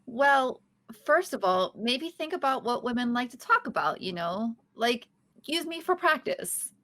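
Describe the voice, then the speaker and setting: A woman's voice: a little high, big pitch swings, a little slow, a bit bright. A woman reading the part of a quirky, upbeat young woman at a podcast table read, with a bubbly, playful manner. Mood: coaching.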